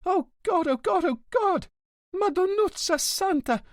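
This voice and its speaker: A man's voice: very high voice, lively intonation, measured pace, dry recording, studio quality, pitched above the performer's own voice, exaggerated voice. A man voicing a frantic, gossipy, melodramatic old woman concierge in an audiobook. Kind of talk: audiobook character voice.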